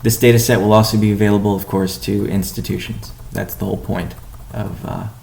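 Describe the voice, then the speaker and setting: A man giving a company update on a conference call as its chief executive, speaking in a measured, halting way with deliberate pauses. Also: lowish voice, flat delivery, gravelly, darkish tone. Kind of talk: business conference call presentation.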